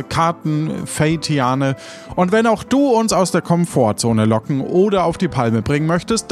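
A man hosting an improvised audio play podcast in a casual, chatty style. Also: fairly high pitch. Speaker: podcast host and game leader. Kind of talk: casual podcast chat and credits.

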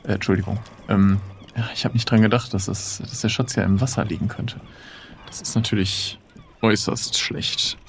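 A man's voice: on the low side, steady tone, moderate pace, vocal fry, slight background noise. A man leading fellow players through an improvised adventure game, hesitating and thinking aloud as he plans. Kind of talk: improvised tabletop roleplay talk.

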